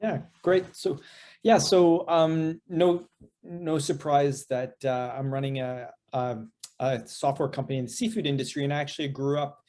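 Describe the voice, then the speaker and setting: A man from British Columbia, a startup founder on a panel, talking in a measured way with many fillers. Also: on the high side, fairly even intonation, mellow. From Canada, British Columbia. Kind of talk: panel self-introduction.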